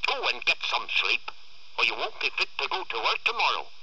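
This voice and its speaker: A man's voice: mid-range voice, wildly swooping pitch, moderate pace, breathy edge, muffled recording, voice pushed up, exaggerated voice. A man voicing a fierce, exasperated farm mother who snaps and scolds, in a storytelling reading. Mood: sharp, scolding. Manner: sharp, snapping.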